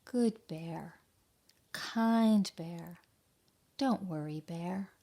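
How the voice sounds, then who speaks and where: Slow, low-voiced woman reading at storytime. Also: creaky. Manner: gentle, simple explanations addressed to young children. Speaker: children's library storytime reader. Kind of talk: storytime read-aloud and talk to children.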